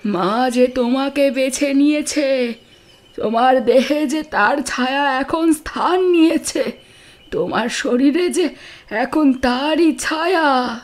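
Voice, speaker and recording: An old village aunt from a horror audio drama, high-pitched and very slow. Every phrase is drawn out like a dark prophecy, solemn and ominous. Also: female voice. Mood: solemn, eerie.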